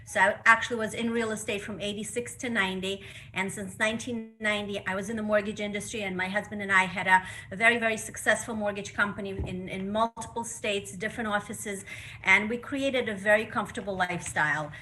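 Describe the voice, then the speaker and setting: A woman's voice: mid-range voice, fairly even intonation, a little fast, bright, forceful tone, rough recording. A middle-aged woman from Russia telling her personal story as a guest speaker, earnest and emphatic, over a video call. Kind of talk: personal testimony.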